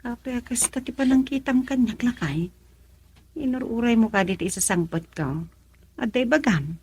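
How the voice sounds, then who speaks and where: A woman's voice: on the high side, expressive, normal speed, darkish tone, husky, no room sound, full character voice. A woman with an Ilocano accent playing an earnest, self-sacrificing middle-aged mother in a radio drama, hesitant and pleading. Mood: evasive.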